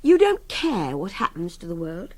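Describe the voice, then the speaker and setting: A woman's voice: on the low side, huge pitch swings, normal speed. An actress playing a defiant, superior young captive woman with an English accent, articulate and impatient, in radio drama dialogue. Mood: exasperated, argumentative. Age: young adult.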